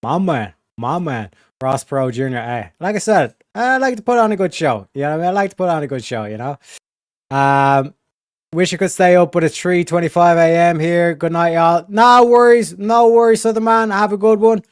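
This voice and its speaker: Streamer host, male, casual and profane, smooth clear voice, dry close mic. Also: high-pitched, normal speed, no room sound. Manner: slangy, profane, rambling with many fillers. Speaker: livestream host. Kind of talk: casual livestream chat.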